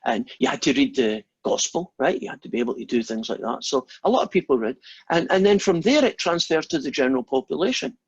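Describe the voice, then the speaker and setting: A Scottish man gives an informal maths lecture and wanders happily off topic into long, self-deprecating stories. His voice is husky and a little rough at the edges. The recording sounds muffled and dull, without any crisp highs. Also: lively intonation, fast, dry recording. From Scotland. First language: Scots. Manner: rambling, anecdotal, self-deprecating.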